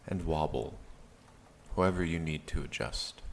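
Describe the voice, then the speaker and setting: A man leading a guided meditation in a calm, soothing, gentle manner with long pauses. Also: very deep voice, vocal fry, a little hiss or hum. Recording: steady rain sound behind the voice. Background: rain backtrack.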